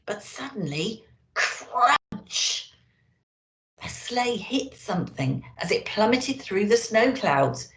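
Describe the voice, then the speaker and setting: A woman reading a children's picture book aloud in an expressive manner, as an elf storyteller. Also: medium pitch, takes it slowly. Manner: expressive, addressing children directly. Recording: roomy, echoey indoor sound.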